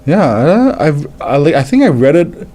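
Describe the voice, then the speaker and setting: A man in his thirties whose first language is French, hosting a casual live manga reading and chat, halting and stumbling as he reads aloud. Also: a little high, sing-song, mellow. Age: 30s. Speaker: livestream host, manga reviewer.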